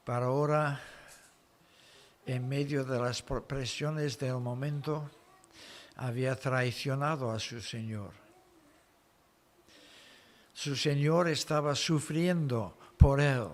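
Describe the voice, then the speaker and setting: A man preaching an expository sermon in foreign-accented Spanish, explaining the story deliberately in short phrases. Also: medium pitch, fairly even intonation.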